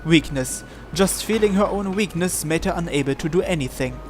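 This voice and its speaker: A man with a slightly high voice narrates a visual novel as he plays. He reads aloud and sometimes trips over a word and repeats it. Faint music runs behind him. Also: lively intonation, moderate pace. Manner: stumbles and repeats words while reading. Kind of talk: live read-aloud narration in English.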